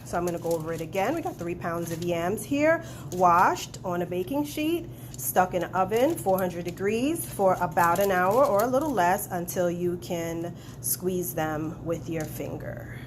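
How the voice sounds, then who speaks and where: A woman's voice: lowish voice, moderate pace, hardly any pauses, a little hiss or hum. A woman private chef, sounding nasal from a head cold, chatting casually with lots of ums as she walks through a recipe in her kitchen on a handheld camera. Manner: chatty, relaxed, many ums. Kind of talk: home cooking demonstration. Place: home kitchen. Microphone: handheld phone camera.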